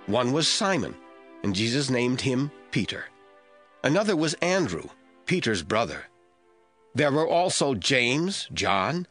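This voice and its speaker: A man narrating a scripture audio drama from a script in a deliberate storytelling manner. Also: medium pitch, a bit bright. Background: faint music.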